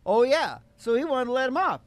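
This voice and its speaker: A man's voice: extremely high pitch, very animated, a little fast, dry recording. An older man hosting a radio show, telling stories comically in a rambling, folksy, irreverent way. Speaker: radio show host and singing guitarist. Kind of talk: comic storytelling and banter.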